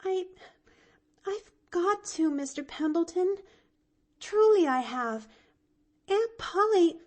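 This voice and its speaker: A woman's voice: expressive, slow pace, exaggerated voice. A woman reading an audiobook, voicing an eager little girl who stammers and pleads earnestly. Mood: pleading. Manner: stammering, eager, high and bright.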